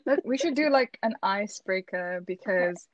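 A woman's voice: mid-range voice, animated, moderate pace, no room sound, dull audio. A woman from the Philippines chatting casually on a podcast, hesitant and giggly with many fillers. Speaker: podcast guest. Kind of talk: casual chat.

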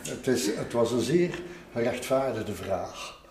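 A man's voice: mid-range voice, animated, a little slow, a little mellow, slight background noise. An older man with a Flemish accent chatting casually as an interviewee, explaining and telling stories with repeated little tags. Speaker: interviewee, art collector. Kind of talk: casual conversational storytelling. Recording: roomy echo with music behind. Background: music.

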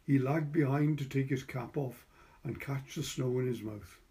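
A man's voice: medium pitch, normal speed, mellow. A man with a Welsh accent reading a literary short story as narrator. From Wales.

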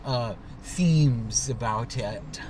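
A young man at a mid-range pitch talks loosely from the seat of a parked car, piling "like" and "um" into his sentences. A faint hiss of ambient noise sits under the voice. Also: drawn-out words. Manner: rambling, lots of "like" and "um". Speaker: podcast co-host. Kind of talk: casual chat.

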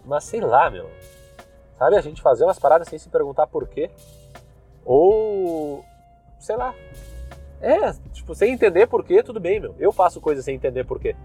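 A young man with a southern Brazilian Gaúcho accent who rambles loosely as a podcast host, full of slang and fillers. His voice sits a bit high. He talks from inside his car while faint music plays somewhere behind him. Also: big pitch swings, normal speed, pauses often, mellow, slightly rough. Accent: Gaúcho Brazilian Portuguese. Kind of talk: casual rambling monologue.

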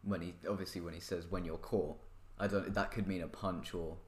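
A man co-hosting a podcast, giving relaxed, brief replies. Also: low voice, fairly quick. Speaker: podcast co-host. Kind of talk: casual chat. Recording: echoey room.

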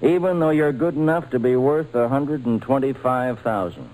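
An actor playing a steady, fatherly baseball manager in an old-time radio drama, speaking in an avuncular, persuasive way. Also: mid-range voice, moderate pace, lightly acted, rough recording. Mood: regretful, encouraging.

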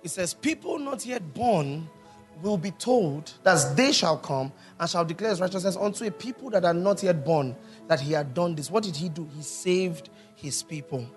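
Pastor preaching, slightly high voice. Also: male voice, expressive, normal speed, faint music behind. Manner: teaching, asks the congregation questions. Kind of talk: sermon. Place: church hall with congregation.

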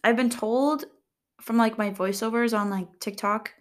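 A young woman talks through a quiz in a soft, calm voice, reading each question and then rambling about her answer. Her speech is loose and casual, full of fillers, and she jokes at her own expense. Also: on the high side, unhurried. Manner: chatty, rambling, filler-heavy, self-deprecating humor. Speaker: vlogger.

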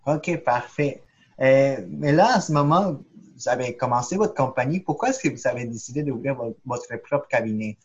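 A podcast host speaks with a husky edge. The pitch sits in the middle, the phrasing is informal, and the recording is a little dull and lo-fi. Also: lively intonation, normal speed. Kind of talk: podcast interview. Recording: dull, lo-fi sound.

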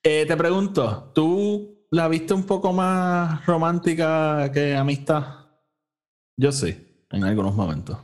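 A man's voice: a little high, takes it slowly, a little mellow. A man with a Puerto Rican accent hosting a casual, informal review chat, mixing English phrases into his Spanish. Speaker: podcast host.

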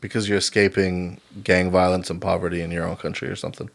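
A man's voice: deep voice, little pitch movement, fast talker, vocal fry. A young man co-hosting a casual podcast chat, laid-back and slangy, often trailing off with "like". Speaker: podcast co-host.

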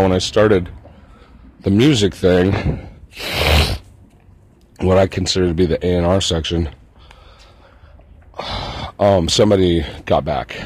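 Husky, deep-voiced rambling vlogger man. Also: darkish tone. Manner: meandering, profane, self-mocking rambling. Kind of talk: rambling casual monologue.

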